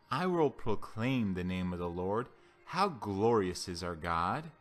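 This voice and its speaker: A man giving a solemn scripture reading as a Bible reader, with deliberate, declamatory phrasing. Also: fairly low pitch, a bit bright. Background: background music.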